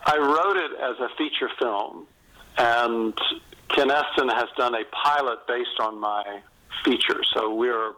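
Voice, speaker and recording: A middle-aged or older man talking casually as an author in an interview, enthusiastic and self-deprecating, often halting mid-sentence to find his way. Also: medium pitch, pushed and bright, low-quality recording. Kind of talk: casual conversational interview, anecdotal.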